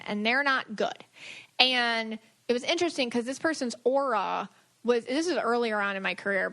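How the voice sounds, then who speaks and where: A woman's voice: on the high side, fairly bright tone. A woman hosting a casual podcast as a spiritual medium, telling stories in a chatty, informal way.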